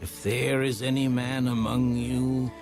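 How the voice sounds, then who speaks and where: A man reading scripture as scripted audiobook narration in a measured, solemn manner. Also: mid-range voice, level tone, darkish tone. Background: background music.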